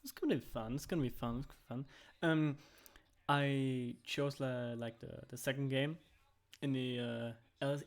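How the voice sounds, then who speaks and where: German-native young man casting games casually. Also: mid-range voice. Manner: rambling, heavy use of "like" and restarts. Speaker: amateur esports caster. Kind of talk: casual solo esports commentary.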